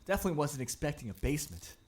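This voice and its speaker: A male narrator plays a wry, hesitant newcomer. The voice is rather high and swings up and down in animated puzzlement. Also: very fast, background noise. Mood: bemused. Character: bemused, hesitant newcomer to a strange world. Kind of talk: acted audiobook dialogue.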